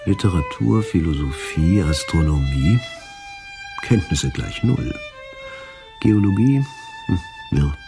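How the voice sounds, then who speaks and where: An actor playing a bemused chronicler, musing in a measured way to himself in a German radio drama. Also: male voice, low-pitched, wildly swooping pitch, exaggerated voice. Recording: loud violin music under voice.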